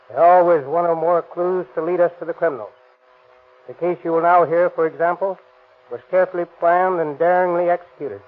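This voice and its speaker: Stern police official on old radio. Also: male voice, on the high side. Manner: stern, lecturing. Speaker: police department guest speaker. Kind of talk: read prepared statement. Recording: tinny 1930s radio broadcast.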